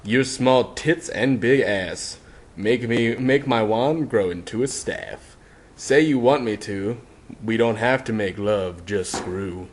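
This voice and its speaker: A man voicing a smug, lecherous talking hat with a gruff baritone and crooning, cajoling manner. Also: slow. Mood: sing-song, seductive. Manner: crooning, sing-song, cajoling.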